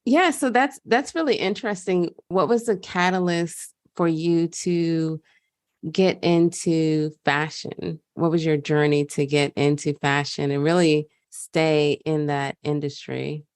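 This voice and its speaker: A woman's voice: low voice, fairly even intonation, unhurried, a little mellow, clear, resonant tone, no echo, clean studio sound. A woman hosting a podcast, reading an upbeat scripted intro and asking questions. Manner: upbeat, promotional. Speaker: podcast host.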